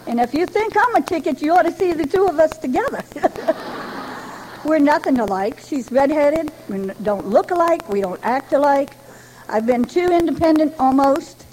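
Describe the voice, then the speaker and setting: An older woman from Rhode Island tells her personal recovery story to a meeting in a chatty, folksy way with gentle humor. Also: high voice, a little hiss or hum, poor audio. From Rhode Island, New England. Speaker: Al-Anon member giving a talk. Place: speaking to a gathering.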